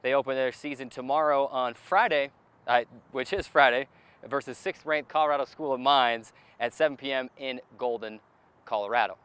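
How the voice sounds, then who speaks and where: Guy hosting a sports show outside, mid pitched voice, reading team updates like a broadcaster. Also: normal speed, a bit bright, clear, resonant tone. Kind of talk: scripted sports show presenting. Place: outdoors at a stadium.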